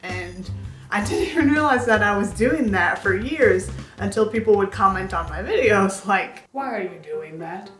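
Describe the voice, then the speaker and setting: A woman's voice: mid-range voice, lively intonation, moderate pace, fairly bright tone, soft background music. Candid young woman vlogging in echoey room. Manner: candid, rambling, many fillers like "like" and "um". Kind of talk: casual chat to camera.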